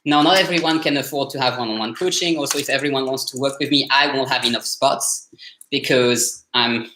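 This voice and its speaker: A man's voice: mid-range voice, fairly even intonation, brisk, bright, forceful tone, a little hiss or hum. A man with a French accent, coaching learners in a casual live session, talking loosely with many fillers and restarts. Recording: roomy echo.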